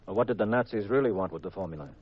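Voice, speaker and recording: An actor playing a cool, self-assured private detective in a 1950s radio drama, composed and clipped on a vintage recording. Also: male voice, lowish voice, very fast, husky, highs cut off. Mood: attentive, businesslike. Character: cool, self-assured married male private detective, narrating his case.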